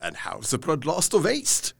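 An actor playing a kindly, earnest old professor, speaking formally and emphatically in an audio drama. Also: male voice, a little high, wildly swooping pitch, a little slow, gravelly, fairly bright tone, husky, heavily acted. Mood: probing, insistent. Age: older. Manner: emphatic, quaintly formal phrasing.

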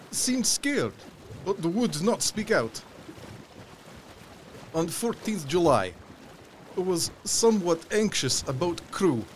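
A man playing an anxious sea captain in an audio drama, gravely and deliberately reading out his clipped ship's log. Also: high voice, a little hiss or hum, full character voice.

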